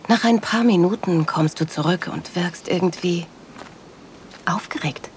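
A woman playing a loving, sensual middle-aged wife, narrating an intimate audio drama in a soft, dreamy manner. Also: on the low side, unhurried, slight background noise, natural voice. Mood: awed, tender.

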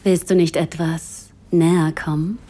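A woman's voice: low voice, level tone, unhurried, dark tone. An actress playing a self-assured, flirtatious woman writer in an intimate audio drama, with a playful, inviting manner.

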